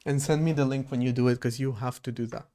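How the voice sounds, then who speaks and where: A man chats casually on a live coding stream, his voice gravelly with creak at the ends of phrases. He rambles and thinks aloud, drifting between his code and the viewers' messages. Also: medium pitch, steady tone, quick pace, mellow. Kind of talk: casual livestream chat while coding.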